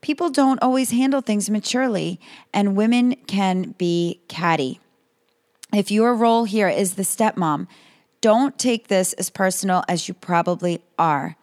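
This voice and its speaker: Woman, podcast host, slightly hoarse, calm advice, scripted, dry close sound. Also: mid-range voice, unhurried, no room sound. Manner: calm, advising.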